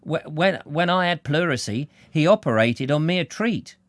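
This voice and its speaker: A man's voice: on the high side, expressive, moderate pace, no echo, voice pushed up, lightly acted. A man voicing a nervous, apologetic middle-aged man who stammers and repeats himself. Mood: cheerful.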